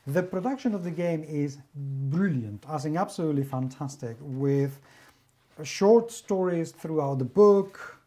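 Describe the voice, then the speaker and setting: A man's voice: on the high side, unhurried, dark tone. A man from Spain with a Spanish accent, reviewing a favorite role-playing game in an effusive, enthusiastic monologue full of superlatives. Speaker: guest reviewer, magazine editor.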